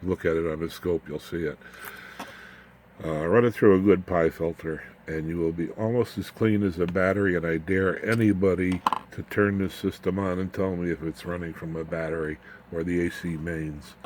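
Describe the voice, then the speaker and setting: A man with a low-pitched voice talks through electronics as if to friends. His delivery is unscripted and folksy, wandering into small jokey asides. There is noticeable background noise in the recording. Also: steady tone, normal speed, a little mellow. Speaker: amateur radio electronics video host. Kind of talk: casual off-the-cuff technical demonstration.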